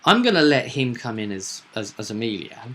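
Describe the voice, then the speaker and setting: Guy game master with a bright forward voice, explaining stuff casually to his players. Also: medium pitch, sing-song, brisk. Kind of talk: casual discussion of a tabletop roleplaying game.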